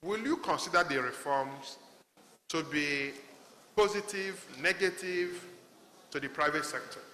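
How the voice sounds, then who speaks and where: A man, moderating a conference panel and putting questions in a halting, stammering way. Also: on the high side, sharp tone. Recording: roomy echo, long hall reverb.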